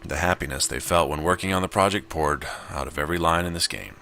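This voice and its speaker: A man's voice: deep voice, quick pace, vocal fry, bright, forceful tone. A man reading a visual novel aloud and giving casual commentary as he plays. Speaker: video game player and narrator.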